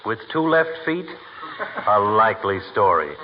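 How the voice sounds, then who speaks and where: An actor playing a pompous, sardonic doctor in a 1940s radio comedy, needling others in ornate, learned words. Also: male voice, fairly low pitch, big pitch swings, measured pace. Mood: scornful. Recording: muffled, faintly noisy 1940s radio recording.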